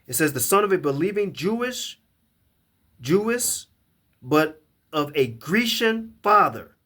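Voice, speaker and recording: A man's voice: on the high side, very animated, unhurried, bright, forceful tone. A man giving a scripture lesson to a camera, emphatic and often repeating and restarting his words as he reads verses aloud. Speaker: Bible teacher.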